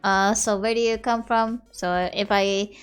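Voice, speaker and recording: A woman's voice: medium pitch, little pitch movement, measured pace, smooth, soft background music. A woman from Indonesia, casually teaching her own language on a livestream, giggling and apologizing as she explains step by step.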